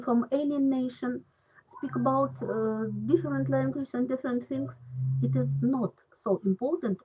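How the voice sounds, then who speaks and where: Woman hosting an online panel, hesitant English, husky voice on a phone-like line. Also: on the high side. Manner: halting non-native English, many fillers. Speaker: round table moderator. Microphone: video call. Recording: husky voice over a tinny call line.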